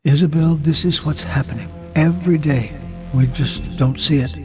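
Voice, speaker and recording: A devout old grandfather, acted by a man in an audio drama, pitched a little high for a man. He speaks in earnest, solemn storytelling tones over clear music. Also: moderate pace, lightly acted, poor audio. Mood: grave warning. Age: older. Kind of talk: full-cast audio drama acting.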